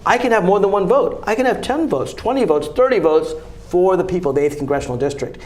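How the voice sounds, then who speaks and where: A man with a fairly high speaking voice makes his campaign pitch in a TV studio interview. He talks fast and emphatically, pressing each point like a fighter. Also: lively intonation, darkish tone. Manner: emphatic, combative, rapid-fire argument. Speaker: congressional candidate. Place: local cable TV studio.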